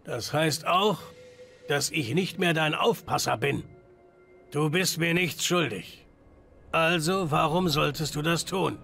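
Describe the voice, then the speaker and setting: An actor voicing a gruff, foul-mouthed, betrayed but loyal enforcer in a video game, with a gravelly, blunt delivery. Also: male voice, fairly high pitch, slow, sharp tone, exaggerated voice. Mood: gruff, determined. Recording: background music. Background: game music.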